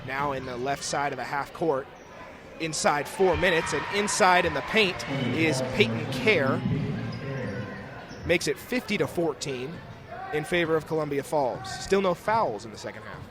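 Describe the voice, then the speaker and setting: Higher-voiced young man calling basketball play-by-play. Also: expressive, normal speed, a crowd in the background. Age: young adult. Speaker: play-by-play sports announcer. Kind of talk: live basketball play-by-play commentary. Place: indoor school gymnasium during a game. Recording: noisy gym ambience, bouncing basketballs. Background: game noise, basketball bounces.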